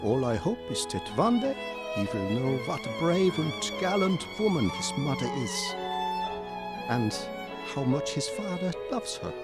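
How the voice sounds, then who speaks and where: A man's voice: sits high, wildly swooping pitch, unhurried, heavily acted. A man playing a solemn, kindly professor and vampire hunter, speaking formally in an audio drama. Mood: solemn, tender. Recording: loud string music behind.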